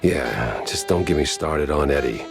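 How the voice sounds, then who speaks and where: A man's voice: rumbling low, animated, a little slow, creaky, loud music behind the voice, heavily acted. A man voicing a wisecracking, world-weary cartoon mouse private detective in a noir game, sardonic and wry.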